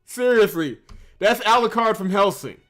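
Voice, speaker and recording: A man's voice: high voice, expressive, moderate pace, a bit bright. A man hosting a game playthrough, mixing commentary and narration read aloud with wry, teasing asides. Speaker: host of a visual novel playthrough channel. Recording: music behind the voice. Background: game music.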